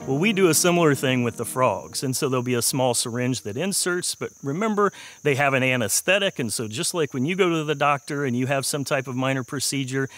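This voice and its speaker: A man in his forties explaining his work in a measured way as a wildlife researcher in a documentary interview, recorded outdoors. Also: mid-range voice, a bit bright. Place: outdoors in woodland.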